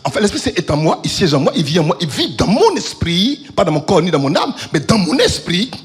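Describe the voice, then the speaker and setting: A man pastor preaching a fiery sermon in a church, with chanted and shouted peaks, and questions he answers himself. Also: big pitch swings, fairly quick, soft background music. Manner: preaching with sung, shouted crescendos then dropping low; asks the congregation questions and answers them himself. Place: church service.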